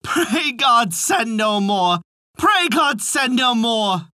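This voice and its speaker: Narrator putting on a high, bawling simple little boy. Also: sing-song, slow, no echo, clean studio sound, heavily acted. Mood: singsong chanting. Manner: loud, singsong, repetitive chanting. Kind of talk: storybook folk tale character.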